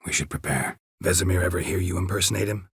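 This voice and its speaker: An actor playing a gruff, weary, stoic monster hunter in a video game, a gravelly voice and a terse, deadpan delivery. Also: male voice, fast, no room sound, exaggerated voice. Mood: matter-of-fact, grim. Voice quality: husky, gravelly low voice. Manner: terse, clipped, deadpan, dry. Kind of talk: video game character dialogue.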